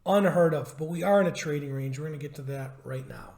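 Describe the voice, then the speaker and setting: A hoarse man who is losing his voice, giving a stock market update over charts; he talks emphatically, repeats his points and asks rhetorical questions. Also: a little high, fast talker, darkish tone, echoey room. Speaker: stock trading educator.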